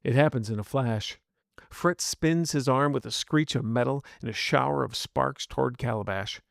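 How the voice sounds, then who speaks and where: A man narrates as a battered master in a dramatic first-person story. He sounds grim, bitter and worn down. The voice has a gravelly fry and sits very dry and close. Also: medium pitch, moderate pace, no echo, lightly acted. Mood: grim, pained. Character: battered, grieving old master narrating his defeat.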